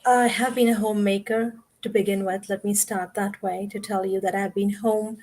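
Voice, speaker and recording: A woman's voice: mid-range voice, little pitch movement, normal speed, a little mellow. A woman in her forties from India, a guest on a video call interview, telling her story in an earnest, conversational way. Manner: conversational, earnest, explains at length.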